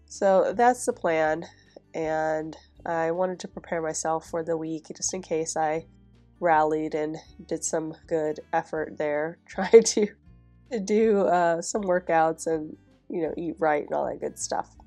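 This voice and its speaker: Stuffy nasal woman, low and a bit gravelly, chill chatty planner voice-over. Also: measured pace, faint music behind. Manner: chatty, relaxed, with soft laughs. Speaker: planner vlogger. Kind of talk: casual voice-over while decorating a planner.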